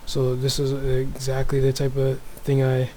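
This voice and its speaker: A man with a Toronto accent, talking low and soft in a room at home while he reads and comments on news for a religious video. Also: completely flat delivery, normal speed, slight background noise. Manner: speaks softly, many restarts and fillers. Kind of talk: casual religious commentary, reading news aloud.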